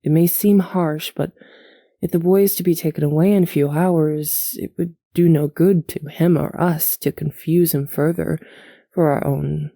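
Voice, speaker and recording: A woman playing a stern, sceptical, guarded family authority in an audio roleplay, measured and curtly reproving. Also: low-pitched, steady tone, warm tone, no room sound, studio quality. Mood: guarded, dry.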